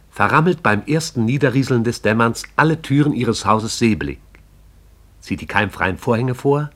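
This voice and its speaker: A man narrating a radio play in a measured, poetic storytelling manner. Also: lowish voice, fairly bright tone.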